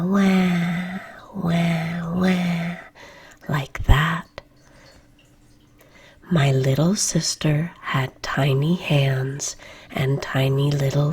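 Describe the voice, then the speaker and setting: A woman whispering a children's story at bedtime in a soft, slow, soothing voice that lingers on repeated words. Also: deep voice, husky. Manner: soft unvoiced whisper throughout.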